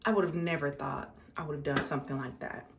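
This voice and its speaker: A woman's voice: deep voice, fast, heavy background noise. A 35-year-old American woman chatting casually and conversationally to her viewers as a makeup vlogger, repeating words for emphasis. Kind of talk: casual chat to viewers while doing makeup.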